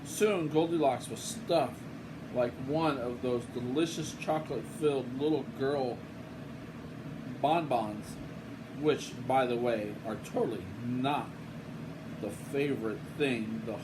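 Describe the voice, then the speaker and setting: An older man reads a children's storybook like a grandfather at home, taking his time over each phrase. His tone is playful and casual, slipping into folksy asides. A steady room hum runs clearly behind his voice. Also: medium pitch, slow pace. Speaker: grandfather reading a picture book aloud.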